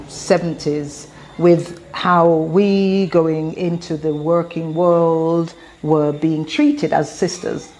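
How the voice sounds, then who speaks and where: An English-accented woman in her fifties speaks slowly and earnestly, taking her time over each thought. Her voice is fairly low and her delivery measured and deliberate. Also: darkish tone. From England. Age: 50s. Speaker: motivational speaker. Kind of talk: reflective spoken address.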